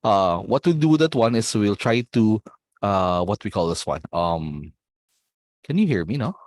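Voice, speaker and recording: A man with a Filipino accent leads an online olympiad math session, walking through the solution step by step and slipping Tagalog words into his English. His voice sits fairly low, and the sound is dry and close, with no room around it. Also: lively intonation, moderate pace, dry recording. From Philippines. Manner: explains step by step, mixing in Tagalog words, checks in with students.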